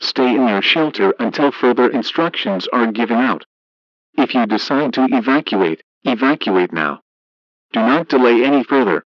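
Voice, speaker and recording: A man reading a scripted emergency broadcast announcement in a formal, flat, measured, synthetic way, as an alert announcer. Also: mid-range voice, dry recording. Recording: dull lo-fi emergency radio broadcast.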